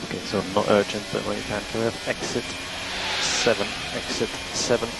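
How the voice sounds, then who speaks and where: A man working as a race engineer, giving measured, matter-of-fact instructions over team radio and repeating the key ones. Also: fairly low pitch, flat delivery, gravelly, over loud music, poor audio. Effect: team radio transmission. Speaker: Formula One race engineer. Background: vehicle and engine noise.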